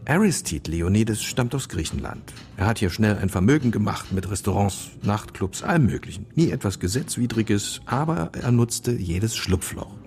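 An actor playing a shrewd older police commissioner with a gravelly voice in a radio crime play. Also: male voice, lowish voice, lively intonation, normal speed, hardly any pauses, darkish tone. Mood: dry briefing.

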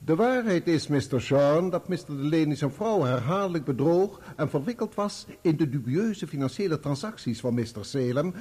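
A radio play barrister with a dark, fairly high voice. He questions formally and insistently, swinging his intonation widely to make each pointed, sardonic remark land. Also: lively intonation, normal speed, hardly any pauses, breathy edge. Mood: probing, formal. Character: sharp male barrister cross-examining a witness, sardonic and insistent. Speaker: actor playing a lawyer. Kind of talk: Dutch radio play courtroom acting.

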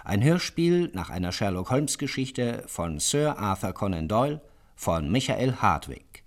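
Slow, low-pitched male radio announcer. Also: big pitch swings. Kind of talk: scripted programme announcement.

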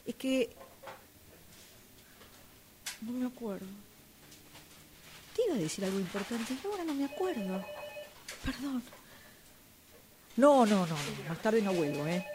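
A woman with an Argentine accent performs a drama exercise. Her intonation is very animated, at a medium pitch, with faint noise behind. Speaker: radio drama workshop student. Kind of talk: acted dialogue exercise.

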